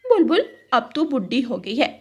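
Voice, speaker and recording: A woman's voice: high voice, big pitch swings, moderate pace, slightly rough, heavily acted. A woman voicing a quarrelsome female crow in a Hindi cartoon story, with a theatrical delivery. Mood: mocking. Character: mocking, quarrelsome female crow wife, later pleading.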